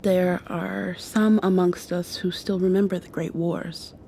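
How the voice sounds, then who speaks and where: A gentle young vampire girl played by a woman talks to her girlfriend in an intimate ASMR roleplay. Her voice is mellow and fairly low, and she takes her time, tender and thoughtful, pausing as she confides. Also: little pitch movement, creaky, a little hiss or hum. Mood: tender, hesitant. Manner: soft, tender, unhurried, thoughtful pauses.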